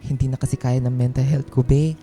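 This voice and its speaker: A man's voice: mid-range voice, level tone, a little fast, warm tone, lightly acted. A Filipino man playing a stressed, plaintive young office worker confiding in a friend in a radio drama. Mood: weary, worried. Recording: music bed under dialogue.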